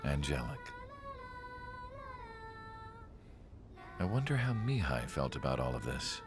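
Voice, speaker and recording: A man playing a solemn scientist who narrates a video game story in a measured, reflective way. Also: very deep voice, big pitch swings, over music. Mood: wistful. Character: solemn older male research scientist recalling an ace pilot. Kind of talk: scripted dramatic cutscene narration.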